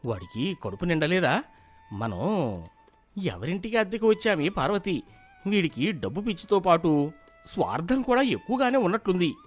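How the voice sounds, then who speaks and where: A man playing a weary, anxious village father in an animated moral story, gentle but grumbling. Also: high-pitched, moderate pace, pauses often, faint music behind. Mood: worried, grumbling.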